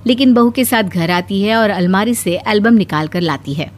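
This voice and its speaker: A woman narrating a scripted story in a lively storytelling manner. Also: medium pitch, moderate pace.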